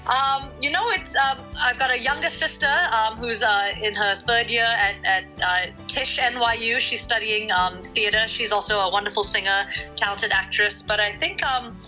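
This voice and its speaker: A middle-aged woman originally from Singapore answers interview questions about her music. Her voice is bright and forward, and she thinks aloud, full of ums and small restarts. Faint music sits underneath. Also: a little high, normal speed, rough recording. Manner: thoughtful, hesitant, many fillers and restarts. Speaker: singer-songwriter guest.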